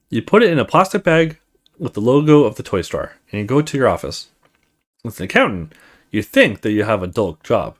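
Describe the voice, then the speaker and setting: Lively-pitched man narrating a horror game. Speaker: let's play host. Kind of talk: reading a horror visual novel aloud with casual asides.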